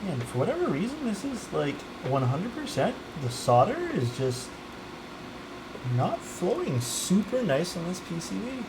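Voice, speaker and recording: A man's voice: sits high, huge pitch swings, a little slow, noisy background. Easygoing Toronto guy chatting with his stream. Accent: Toronto Canadian. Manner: easygoing, chatty, answers chat while soldering. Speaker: livestreamer building a keyboard. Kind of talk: casual livestream chat.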